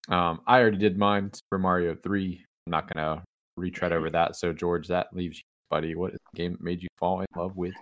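Deep-voiced man hosting a chat. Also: brisk. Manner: relaxed, rambling, joking. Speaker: podcast host. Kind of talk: casual chat.

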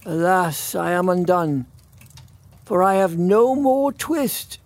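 A man's voice: sits high, lively intonation, dry recording, heavily acted. Man playing a mournful, anxious old tailor. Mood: lamenting. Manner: mournful muttering, drawn-out pleading. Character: poor old male tailor, anxious and lamenting.

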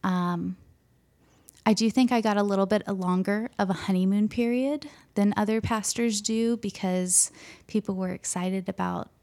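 A young woman pastor speaking softly and gently in an interview, pausing often with hesitant ums. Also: medium pitch, fairly even intonation. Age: young adult. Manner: soft-spoken, gentle, hesitant with many ums. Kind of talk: interview conversation.

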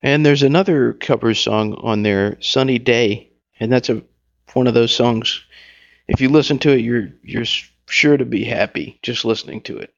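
Gravelly radio host asking rambling questions. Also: male voice, mid-range voice, normal speed, dull audio.

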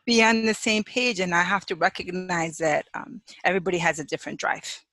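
A woman from Chicago, a psychologist, speaking in a casual interview with frequent laughs and thoughtful pauses. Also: fairly low pitch, dry recording. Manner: warm, laughs often, thoughtful pauses with fillers. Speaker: guest, clinical psychologist and sex therapist. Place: home office in Hawaii.

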